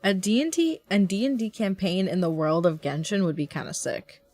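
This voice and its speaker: A woman's voice: mid-range voice, brisk, no echo. A woman game streamer giving casual, playful reactions as she plays. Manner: playful, expressive.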